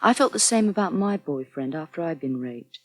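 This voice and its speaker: Female counsellor, frank and reassuring, lively intonation, slightly husky, naturalistic radio soap. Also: low-pitched, normal speed. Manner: reassuring, conversational.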